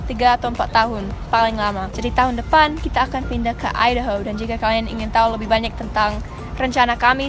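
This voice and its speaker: High-voiced woman vlogging casually. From United States (Texas). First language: English. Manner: chatty, relaxed, with small fillers. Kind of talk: casual talk to camera. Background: background music.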